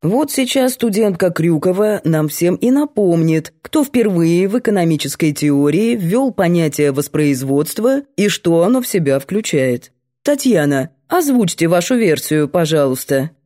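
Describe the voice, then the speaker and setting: A woman's voice: lowish voice, expressive, a little slow, mellow. A woman voicing a stern, theatrical male economics professor with a pompous, didactic manner in an audiobook. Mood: stern, pointed.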